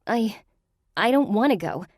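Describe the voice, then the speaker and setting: A woman's voice: medium pitch, animated, measured pace, dry recording, clean studio sound, voice pushed up. A woman voicing a frightened young woman who pleads anxiously.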